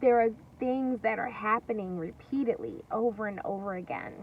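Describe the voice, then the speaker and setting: A woman teacher reading a story aloud to young children, emphatic and singsong, pausing to ask questions. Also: on the high side. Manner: singsong, emphatic, asks questions and pauses for answers. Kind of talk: interactive storybook read-aloud. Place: at home. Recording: distant mic, lo-fi, faint noise.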